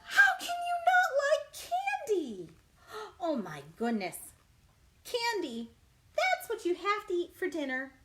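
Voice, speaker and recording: Very animated, high-voiced woman reading to children. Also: slow pace. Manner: animated, exaggerated, questioning the young listeners directly. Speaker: children's librarian. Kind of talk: children's read-aloud storytime. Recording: noisy livestream recording.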